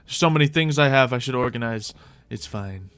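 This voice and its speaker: A man's voice: medium pitch, animated, fairly quick, fairly bright tone, a little hiss or hum. A man hosting a gaming series, thinking aloud in light roleplay with mock-archaic, formal fantasy phrasing. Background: music.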